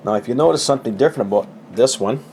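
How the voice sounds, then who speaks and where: A man's voice: medium pitch, very fast, a little mellow, slight background noise. A middle-aged man giving a casual, rambling, folksy show-and-tell of his gadgets, with a self-deprecating way of talking. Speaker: hobbyist presenter.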